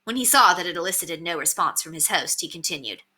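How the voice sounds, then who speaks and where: A woman reading a children's novel aloud as scripted narration, in an expressive storytelling manner. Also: on the low side, fast, slightly rough. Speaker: storybook reader.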